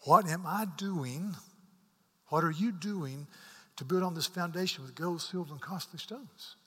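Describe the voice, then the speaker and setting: A man's voice: on the high side, a little slow, husky. Earnest preacher man in a reverberant hall. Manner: earnest, repeats words, rises to emphatic peaks and drops to hushed asides. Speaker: pastor. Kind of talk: sermon.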